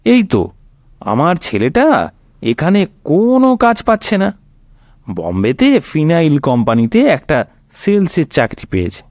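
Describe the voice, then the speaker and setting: A man's voice: mid-range voice, a little mellow, no echo, studio quality. A man with an Indian Bengali accent voicing a resigned, grateful working-class voter in a satirical story, justifying himself plaintively.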